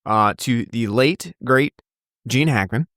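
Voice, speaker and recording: A man's voice: mid-range voice, takes it slowly, a bit bright, no echo, clean studio sound. A man hosting a casual podcast, rambling with many fillers and restarts. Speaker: podcast host.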